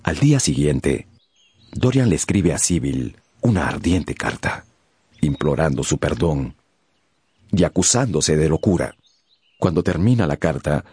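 Very deep, slow male narrator. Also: a little mellow, husky, dry recording. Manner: solemn, deliberate, theatrical pauses. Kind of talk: dramatic scripted literary narration for radio.

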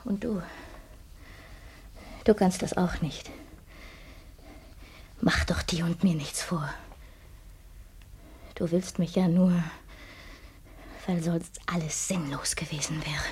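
An actress in a German radio play playing an anguished, bitter newlywed wife and fearful accomplice to murder, tense and reproachful. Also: female voice, fairly low pitch, takes it slowly, pauses often, darkish tone, slight background noise.

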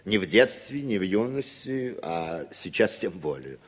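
An actor playing a wry, educated middle-aged playwright, measured and courteous, in 1960s Soviet radio drama style. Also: male voice, low voice, big pitch swings, full character voice. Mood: politely defiant.

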